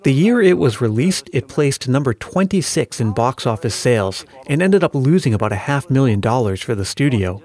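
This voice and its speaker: A man's voice: medium pitch, lively intonation, normal speed, few pauses, darkish tone. An American man narrating a scripted podcast as its host. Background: faint music.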